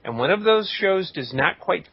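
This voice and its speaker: A man hosting a podcast in a casual, tongue-in-cheek way as he reads out listener shout-outs. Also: sits high, lively intonation, moderate pace, husky, no room sound. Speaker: podcast host. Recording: dull lo-fi voice recording.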